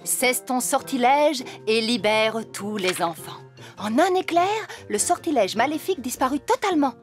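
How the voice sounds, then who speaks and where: A woman's voice: fairly high pitch, expressive, moderate pace, faint music behind, lightly acted. An actress voicing a fairy queen and mother who reads a storybook aloud expressively, in a French cartoon dub. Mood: storytelling.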